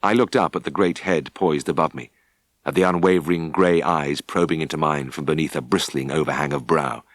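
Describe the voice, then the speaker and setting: A British man narrating a first-person story for an audiobook with expressive, scripted delivery. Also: rumbling low, brisk, dry recording.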